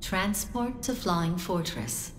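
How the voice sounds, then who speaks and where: A woman's voice: lowish voice, very animated, slow pace, slight background noise. A woman voicing a polite computer terminal in a video game, making formal, procedural announcements. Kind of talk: video game cutscene acting.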